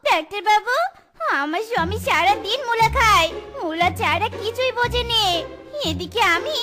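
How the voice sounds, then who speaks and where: A woman voicing a bossy, scolding young wife in a comic cartoon, with a shrill, nagging delivery. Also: animated, moderate pace. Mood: pleading. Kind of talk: exaggerated Bengali cartoon voice acting. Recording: children's cartoon music behind the voice.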